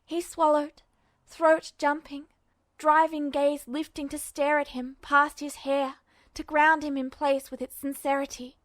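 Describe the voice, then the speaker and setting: Female story narrator, high voice, dramatic fiction reading, dry close sound. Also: level tone, measured pace, fairly bright tone, dry recording.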